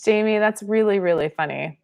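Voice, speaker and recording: A woman's voice: mid-range voice, fairly quick, gravelly, darkish tone. A young woman from Pennsylvania hosting a live-stream Q&A, chatting casually and reading viewer comments aloud. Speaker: channel host.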